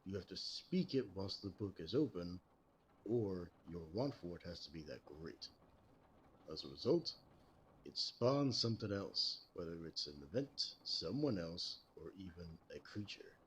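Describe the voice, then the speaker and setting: A low, grave American voice from a man in character as an ageless detective in an audio roleplay. He explains things calmly and formally, in measured phrases, over audible background noise. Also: exaggerated voice. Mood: grave, explanatory. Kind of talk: scripted roleplay audio drama.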